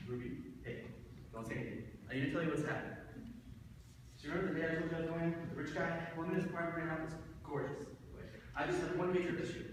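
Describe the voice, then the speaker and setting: A man's voice: a little high, steady tone, rapid-fire, lightly acted. A young man playing a picky, nosy man in his twenties on a date, in an impromptu dramatic play reading. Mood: alarmed. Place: large reverberant room at a live reading event. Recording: echoey hall from far off, noisy.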